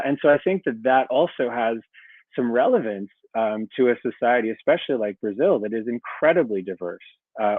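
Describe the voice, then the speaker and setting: A man's voice: medium pitch, dry recording. A man lecturing as a guest, answering a question in a thoughtful way with many fillers over a video call. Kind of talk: academic Q&A answer. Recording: tinny phone-like call audio.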